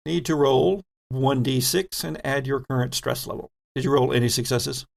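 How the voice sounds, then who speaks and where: Gravelly-voiced man as game master. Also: medium pitch, moderate pace. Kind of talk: narrating a tabletop horror role-playing game.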